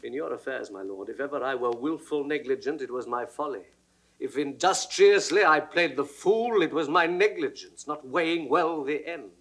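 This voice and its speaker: An actor playing a loyal, courteous royal counsellor with a British accent, speaking formal Shakespearean verse earnestly in an audio drama. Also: male voice, fairly high pitch, a bit bright. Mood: earnest pleading. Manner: measured, deferential, formal declamation. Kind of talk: classical Shakespearean stage acting, 1960s audio recording.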